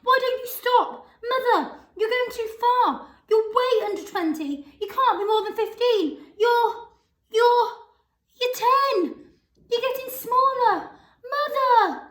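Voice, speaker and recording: A frantic storybook mother, put on by a woman reader, shrill and wailing, her voice pitched very high. It rings out in an echoey room. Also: slow pace, a bit bright, exaggerated voice. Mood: alarmed.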